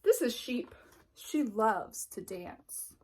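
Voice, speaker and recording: A woman reading a children's picture book aloud as narrator, expressively and deliberately, with dramatic pauses. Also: fairly high pitch, expressive, darkish tone, husky. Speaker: storybook reader.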